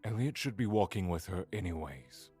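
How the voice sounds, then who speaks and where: Gentle dad in audio drama, lowish husky voice, music underneath. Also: male voice, animated, moderate pace. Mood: protective, encouraging. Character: protective, encouraging father of a young daughter.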